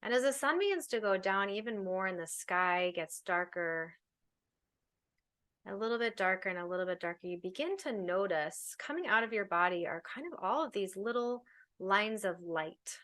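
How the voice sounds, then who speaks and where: A woman leading a guided meditation as a teacher, giving soothing, unhurried instructions with pauses. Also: mid-range voice, expressive, a bit bright.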